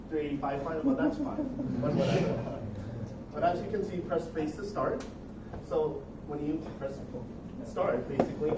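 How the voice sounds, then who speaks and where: A young man giving a casual, rambling, jokey student demo of his project. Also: a little high. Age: young adult. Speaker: student presenter. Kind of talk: informal project demo presentation. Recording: echoey noisy room, distant microphone.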